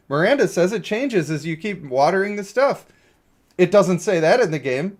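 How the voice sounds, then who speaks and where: A male game streamer with a slightly high voice talks casually to his viewers. He is blunt and opinionated, with an exasperated, ranting way of commenting.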